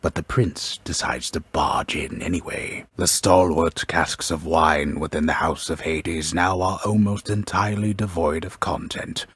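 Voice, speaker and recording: Game narrator: a grave mythic storyteller, extremely low and very husky, pompous, wry, ornate delivery. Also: male voice, no echo, heavily acted. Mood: solemn, wry. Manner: solemn, deliberate, ornate, wryly deadpan. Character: grave, pompous old storyteller narrating Greek myth, wryly ominous. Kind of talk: scripted dramatic storybook narration.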